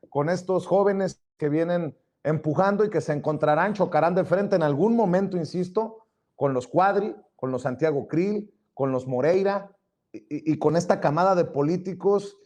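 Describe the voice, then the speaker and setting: Mexican guy hosting a politics show, slightly high voice, casual wry chat. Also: darkish tone. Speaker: interview host.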